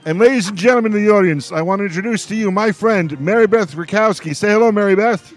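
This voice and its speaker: A man's voice: high voice, quick pace, soft background music. A man hosting a roundtable in a playful, showman-like way, with joking announcements and some hesitations. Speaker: radio show host. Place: radio show held over a video call.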